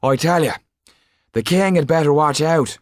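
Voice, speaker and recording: Man playing a brash, loud, higher-pitched chef. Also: moderate pace, no room sound, studio quality, lightly acted. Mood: defiant. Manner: blunt, loud, scornful. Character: brash, outspoken male château chef.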